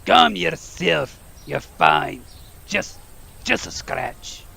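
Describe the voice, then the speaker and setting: A man playing a big, kindly, nervous half-giant teacher with a gravelly, raspy voice and flustered, halting delivery. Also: a little high, big pitch swings, bright, forceful tone, exaggerated voice. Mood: shaken.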